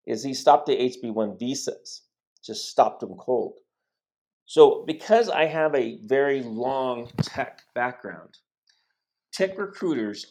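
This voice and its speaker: Gravelly-voiced man in his sixties. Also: medium pitch. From United States. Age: 60s. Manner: rambling, frequent restarts and asides. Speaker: host. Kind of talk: casual interview talk.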